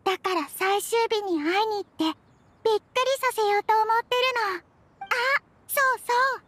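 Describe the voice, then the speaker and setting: A woman's voice: very high voice, moderate pace, fairly bright tone. A woman voicing a caring, cheerful little girl in an anime-style game, with a childlike way of talking. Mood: cheerful, helpful. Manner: childlike, speaks of herself by name.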